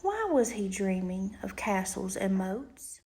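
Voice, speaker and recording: A woman reading a rhyming bedtime storybook aloud to a child in an expressive, rhythmic way. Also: mid-range voice, slow pace, very noisy. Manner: rhythmic, animated read-aloud with emphatic questions.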